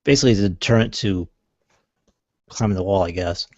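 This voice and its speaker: A man with a fairly low voice narrates a tabletop roleplaying game as game master. He feels his way haltingly through descriptions. The sound is dull, lo-fi and roomy. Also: a little mellow. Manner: halting, hesitant, trailing off.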